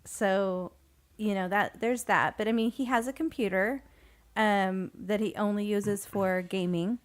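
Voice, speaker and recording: A woman in her thirties co-hosts a relaxed podcast. She talks in an easy, chatty way about everyday home life. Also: medium pitch, unhurried. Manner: chatty, laughs easily. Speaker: podcast co-host. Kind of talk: casual podcast chat.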